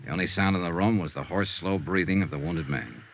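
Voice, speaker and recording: Low-voiced hardboiled radio detective. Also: male voice, expressive, fairly quick, gravelly, a little hiss or hum. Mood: somber. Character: wry, hardboiled male private investigator narrating his case. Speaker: narrator and lead detective. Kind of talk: 1950s radio detective drama acting. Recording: dull vintage radio recording with loud music.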